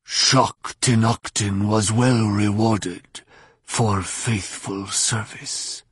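A man voicing a menacing, contemptuous alien villain with a growling, raspy voice in an audiobook. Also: on the low side, steady tone, no echo, clean studio sound, heavily acted. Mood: sneering, gloating. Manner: slow, menacing, sneering.

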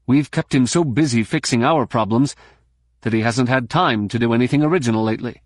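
An earnest microbiologist rushing to defend a colleague, as a male narrator puts them on. Close to the reader's own voice. Dry and close. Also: medium pitch, no echo, close to an everyday voice.

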